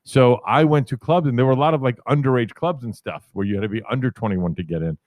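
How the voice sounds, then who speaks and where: A man in his fifties from New York City with a deep voice, telling rambling, self-correcting stories about his career as a podcast guest. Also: fast, darkish tone, no echo. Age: 50s. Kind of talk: casual storytelling interview.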